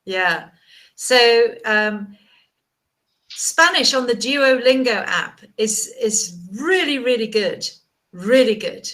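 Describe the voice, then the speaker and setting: A woman's voice: a little high, animated, takes it slowly, fairly bright tone. A woman chatting as an online English tutor, encouraging and chatty, often repeating words. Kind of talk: casual video-call chat.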